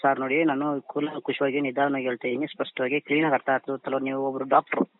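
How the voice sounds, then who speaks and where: A man's voice: medium pitch, level tone, fast. A man with a rural Karnataka accent arguing in an agitated, repetitive way in a heated phone conversation. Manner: agitated, argumentative, repeats himself. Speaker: prank call victim. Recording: tinny narrowband phone line.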